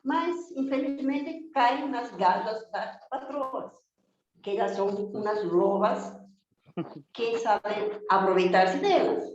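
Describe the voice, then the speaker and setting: A non-native woman speaks with fierce conviction, hammering her points home through rhetorical questions and long lists. Her voice sits a little high and rings with heavy echo. The recording is dull and lo-fi, with faint noise underneath. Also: takes it slowly. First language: not Portuguese, learned it on her own. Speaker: guest speaking for domestic workers. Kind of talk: impassioned spoken testimony. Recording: very echoey, dull lo-fi remote audio with faint noise.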